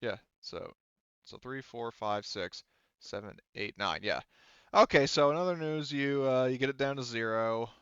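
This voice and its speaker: A man's voice: medium pitch, animated, normal speed, a bit bright, smooth, dry recording, highs cut off. A man acting as game master in an online tabletop roleplaying session, talking casually with some salty language.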